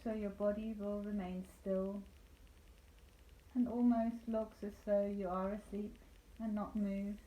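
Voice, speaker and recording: A woman leading a guided meditation as a yoga nidra instructor, speaking calmly and soothingly with deliberate pauses. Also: mid-range voice. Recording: roomy echo, light background noise.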